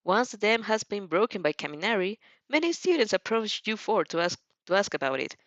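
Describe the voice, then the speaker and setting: A woman narrating a story in an animated way, with occasional slips in her phrasing. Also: medium pitch, brisk, no room sound, muffled recording. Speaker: fan fiction reader.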